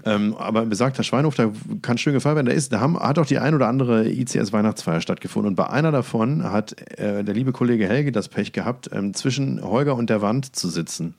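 A man's voice: medium pitch, a little fast, gravelly, few pauses, darkish tone. A man telling anecdotes as a podcast co-host, hesitant and wryly understated.

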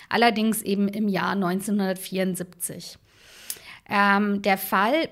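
A woman co-hosting a true crime podcast, telling the case in a relaxed, conversational way with fillers and asides. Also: medium pitch. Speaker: podcast co-host.

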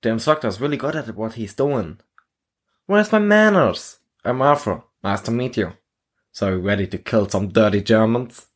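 A man's voice: fairly low pitch, expressive, normal speed. A man voicing a friendly, eager young soldier who talks in casual, chummy banter.

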